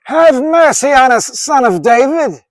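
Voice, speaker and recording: A man puts on a desperate supplicant in a scripture story. The voice is high, strained and earnest, swinging widely as it pleads for healing. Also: animated, unhurried, no echo, studio quality. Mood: crying out.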